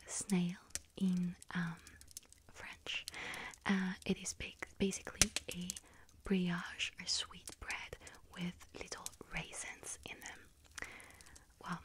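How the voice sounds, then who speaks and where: Girl doing a chatty lil witch bakery worker, whispery asmr, super slow n gentle, lots of little pauses. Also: mid-range voice, close to an everyday voice. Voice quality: breathy whisper. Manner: gentle, unhurried, hesitant pauses with soft ums. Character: friendly chatty young witch working in a bakery. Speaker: ASMR roleplay performer. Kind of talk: whispered ASMR shop roleplay.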